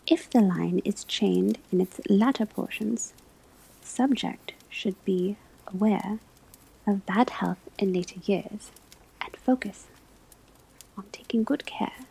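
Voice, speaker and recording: A woman playing a gentle witch-school divination teacher in an ASMR roleplay, whispering breathily as she gives measured instruction. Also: mid-range voice, creaky, breathy edge, heavily acted, rough recording. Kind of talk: ASMR classroom roleplay lecture.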